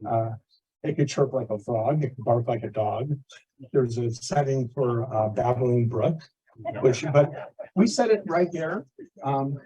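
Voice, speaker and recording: A man casually explaining something to a meeting in a plain-spoken, halting manner. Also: medium pitch, expressive, mellow, slightly rough, low-quality recording. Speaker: meeting participant. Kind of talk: casual show-and-tell explanation.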